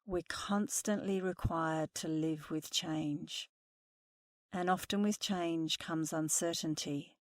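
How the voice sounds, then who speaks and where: Slow, soothing woman guiding meditation. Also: deep voice, fairly even intonation, no echo, studio quality. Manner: slow, soothing, soft-spoken guidance. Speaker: meditation instructor. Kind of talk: guided meditation.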